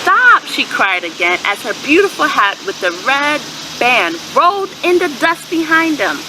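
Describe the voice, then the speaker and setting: A woman's voice: high voice, very animated, noisy background. A woman reading a children's story aloud expressively, in a teacherly way that invites listeners to respond. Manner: teacherly, asks listeners questions and pauses to affirm answers. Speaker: storyteller and host of a children's read-aloud channel.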